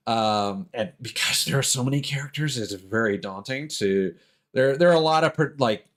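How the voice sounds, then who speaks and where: A man's voice: mid-range voice, normal speed, a bit bright. A man talking off the cuff like a game streamer, casually rambling and griping with exasperated, sweary animation.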